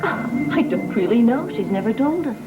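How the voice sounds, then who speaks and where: An actress playing a doting, trusting daughter in a gothic soap opera, solicitous and gently insistent in manner. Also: female voice, on the high side, lively intonation, normal speed, natural voice. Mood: chatty. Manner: solicitous, bright-spirited, insistent. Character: doting, trusting daughter, happily sure of herself. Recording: dull vintage TV soundtrack, loud music.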